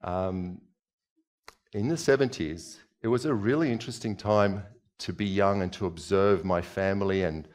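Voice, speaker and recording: Chatty middle-aged preacher quizzing the audience. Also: male voice, deep voice, normal speed, darkish tone. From Czechoslovakia. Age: 40s. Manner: chatty, questions the audience, moves about gesturing. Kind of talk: conversational sermon. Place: church hall stage. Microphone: headset.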